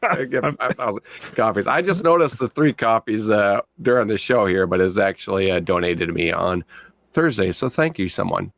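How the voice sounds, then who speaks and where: A man's voice: on the low side, lively intonation, normal speed, creaky, fairly bright tone, dry recording. A man co-hosting a podcast, speaking casually and playfully, repeating phrases for emphasis. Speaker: podcast co-host. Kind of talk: casual sign-off plugs.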